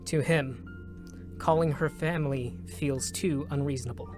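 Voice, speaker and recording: A man narrating a scripted drama in a measured, solemn manner, with short, deliberate phrases. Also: fairly high pitch, steady tone, a little mellow. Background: music.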